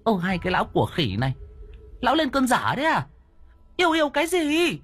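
A man voicing a sharp-tongued, shrewish village wife who scolds shrilly. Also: extremely high pitch, huge pitch swings, brisk, breathy edge, voice pushed up, heavily acted. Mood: scolding.

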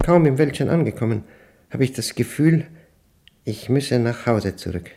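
An actor playing an uneasy older widower in a radio play, brooding and halting as he thinks aloud over his diary with pedantic care. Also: mid-range voice, expressive, gravelly, dark tone, faint music behind.

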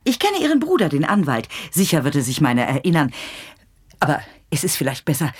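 A woman's voice: sits low, wildly swooping pitch, a little fast, slightly rough, heavily acted. An actress playing a sly, mannered society lady in a radio play, speaking in a knowing way. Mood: arch, sly.

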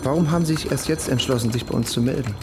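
An actor playing a matter-of-fact police detective with formal wording in a radio crime drama. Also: male voice, medium pitch, rapid-fire, noisy background, close to an everyday voice. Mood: official, probing.

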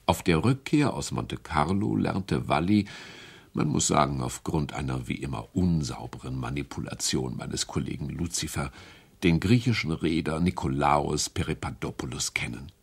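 An actor playing a sly, ironic narrator figure in a radio play, with a gravelly voice. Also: male voice, a bass voice, animated, measured pace, exaggerated voice.

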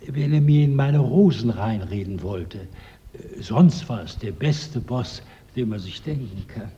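An actor playing a plain-spoken, unruffled gardener, deliberate and matter-of-fact, in a radio crime drama. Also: male voice, medium pitch, lively intonation, warm tone, slight background noise, lightly acted. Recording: dull, muffled radio drama recording.

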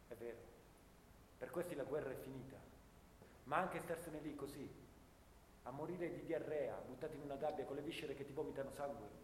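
A man playing a haunted, bitter young First World War soldier in a theatrical radio monologue, telling his story dramatically, between hushed and intense delivery. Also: medium pitch, close to an everyday voice. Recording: roomy, long reverb, clear background noise.

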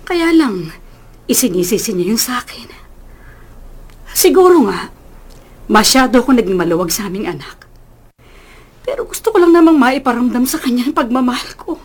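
A woman's voice: a little high, big pitch swings, normal speed, pauses often, slightly rough. An actress playing a worried, protective mother who pleads emotionally for her son in a radio drama. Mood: sorrowful, earnest.

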